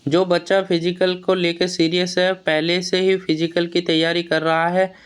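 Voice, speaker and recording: A man with a high-pitched, clean and smooth voice that stays within a narrow, even range. He explains things informally to students as an exam news vlogger. His delivery is plain-spoken and admonishing, with words and phrases often repeated. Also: unhurried. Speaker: exam news vlogger advising job aspirants.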